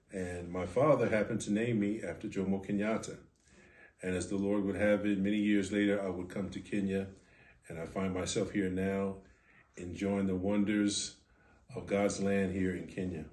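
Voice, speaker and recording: Low-voiced American man in an echoey room. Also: fairly even intonation, normal speed, a little mellow. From Philadelphia, United States. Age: 50s. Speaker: podcast guest, board president of a ministry. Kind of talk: interview answers, personal storytelling.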